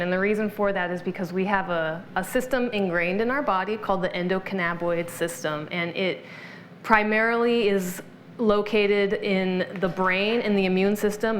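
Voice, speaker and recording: A woman's voice: mid-range voice, a little hiss or hum. Informative woman explaining as a guest. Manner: informative, explanatory, lists benefits. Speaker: wellness practitioner guest. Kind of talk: conversational interview.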